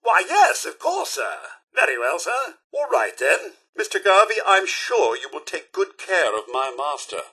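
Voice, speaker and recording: An actor voicing a prim, loyal robot butler for a video game, with a British accent and formal, servile, theatrical diction. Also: male voice, high voice, huge pitch swings, measured pace, hardly any pauses, sharp tone, husky, exaggerated voice. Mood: courteous, obliging.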